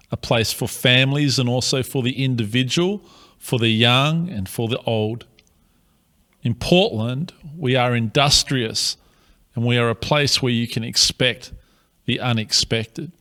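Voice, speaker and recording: A man with an Australian accent, a pastor giving a slow, earnest, measured address to his city. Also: medium pitch, bright, forceful tone.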